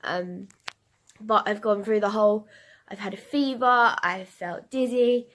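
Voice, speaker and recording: A woman's voice: medium pitch, fairly even intonation, measured pace, fairly bright tone. Chatty young woman with a cold. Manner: chatty and rambling, with many fillers. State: congested with a cold and a cough. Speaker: vlogger. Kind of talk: casual vlog diary.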